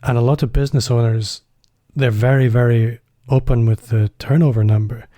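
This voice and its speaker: A man's voice: mid-range voice, steady tone, normal speed, dark tone, dry recording. A man hosting a business podcast, chatty and a little self-deprecating, phrasing his questions loosely. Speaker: podcast host. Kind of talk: podcast interview.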